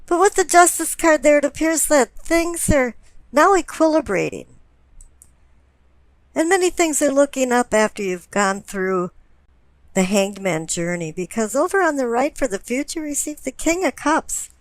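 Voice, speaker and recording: A woman with a fairly high voice reads tarot for her listener. She explains things deliberately, setting out one phrase after another. The recording is dry and close, with little room sound. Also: animated, dry recording, rough recording. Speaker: tarot reader. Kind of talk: spoken tarot card reading.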